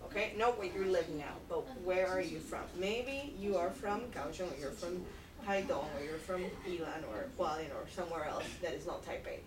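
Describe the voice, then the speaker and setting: A woman teaching English to a class, speaking slowly and patiently and repeating phrases for learners. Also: lowish voice. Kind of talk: classroom instruction. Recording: echoey room, far mic, noisy.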